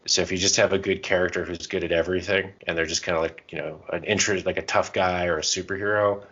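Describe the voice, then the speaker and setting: A man with a low but bright voice talks casually as a podcast co-host. He rambles and thinks out loud as he goes. The recording is a little dull and lo-fi. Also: fairly even intonation, fast talker. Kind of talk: casual chat about tabletop roleplaying and comics.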